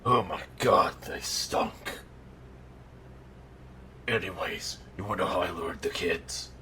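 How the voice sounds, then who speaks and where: A man voicing an irritable, sardonic undead killer in an animatronic suit, telling a bedtime story in a deadpan, exasperated growl. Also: deep voice, slow, heavily acted. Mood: sardonic, ranting, then grudging, dry. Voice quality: gravelly, breathy growl. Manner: deadpan dark humor, exasperated. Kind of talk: in-character bedtime storytelling roleplay. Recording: noticeable background noise.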